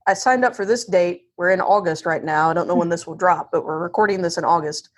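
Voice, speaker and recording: A woman's voice: lowish voice, quick pace. A woman with a Southern US accent chatting candidly and self-deprecatingly as a podcast guest, often acting out quotes of herself and others. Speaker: podcast guest, divorce lawyer. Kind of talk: casual conversational interview.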